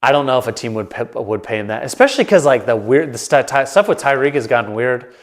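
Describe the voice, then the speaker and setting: A man's voice: mid-range voice, animated, quick pace. A man hosting his own sports talk show, stuffed up and a bit nasal, thinking aloud in a loose, rambling way to the camera. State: congested, nasal. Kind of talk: casual solo commentary to camera. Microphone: camera mic, solo setup.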